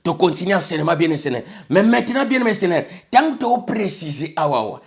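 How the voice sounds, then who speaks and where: A man's voice: high voice, very animated, fairly quick. A man from Congo with a Congolese French accent, preaching an emphatic sermon in which he keeps repeating a set phrase. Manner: emphatic, repeats "bien aimé le Seigneur", switches between French and Lingala.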